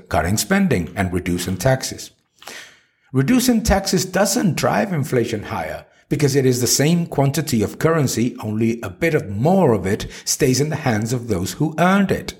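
Male narrator, mid-pitched voice, highly varied intonation, scripted article reading, emphatic deliberate phrasing.

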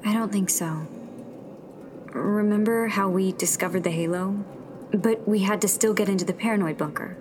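A woman playing a thoughtful, self-doubting young rebel in a sci-fi radio drama, with a soft-spoken, hesitant manner. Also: mid-range voice, normal speed, background noise, lightly acted. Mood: sombre, pondering.

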